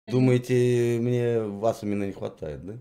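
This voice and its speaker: A man's voice: medium pitch, steady tone, measured pace, warm tone, clear, resonant tone. A man in his forties interviewing a young woman on camera, teasing, condescending and sarcastic. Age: 40s. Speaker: street interviewer. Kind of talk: mocking street interview.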